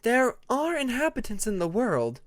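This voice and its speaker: A narrator voicing a young female dragon who is earnest and thoughtful, with a measured manner of explaining. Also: mid-range voice, huge pitch swings, voice pushed up.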